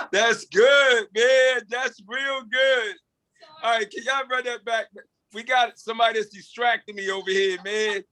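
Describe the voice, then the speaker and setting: A man's voice: very high voice, steady tone, measured pace, bright, forceful tone, low-quality recording. A man chatting casually as a participant in an online table read. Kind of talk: casual chat. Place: video call.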